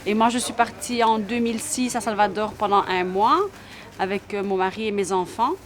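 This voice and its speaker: A woman's voice: medium pitch, moderate pace. Italian-born woman in a noisy shop. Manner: calm, repeats phrases. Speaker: shop owner. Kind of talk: documentary interview.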